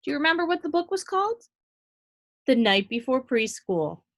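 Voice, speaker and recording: Female, slightly high voice, preschool teacher. Animated read-aloud for little kids, simple direct phrasing. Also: normal speed. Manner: animated, addresses small children directly, simple explanatory phrasing. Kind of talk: read-aloud to young children with asides and questions.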